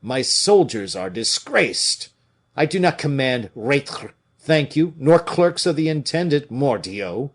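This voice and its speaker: A man voicing an indignant, scornful veteran musketeer with a rough, declamatory delivery in an audiobook. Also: mid-range voice, big pitch swings, slow pace, pitched above the performer's own voice. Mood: outraged, scornful. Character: fiery, indignant veteran musketeer, gruff old soldier. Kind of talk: dramatic audiobook character reading.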